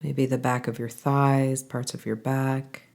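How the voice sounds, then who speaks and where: Woman, very low pitch, soft dark tone, calm guided meditation, gentle unhurried instructions, pauses. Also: gravelly. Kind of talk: guided body scan meditation.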